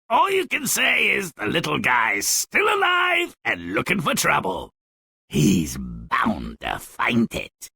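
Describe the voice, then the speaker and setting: A sardonic little gnome narrating twisted storybook tales for a video game. The voice is gravelly and sharp-edged, and it swings wildly up and down in pitch. He drawls theatrically, savoring every nasty detail. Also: dry recording, studio quality, heavily acted. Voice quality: raspy, gravelly.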